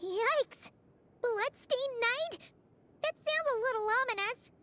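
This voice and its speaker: A woman voicing a tiny, curious, excitable fairy companion in a game, squeaky and childlike. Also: takes it slowly, slight background noise, exaggerated voice. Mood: curious, surprised. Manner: perky, inquisitive. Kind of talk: scripted game dialogue. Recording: game music behind the voice.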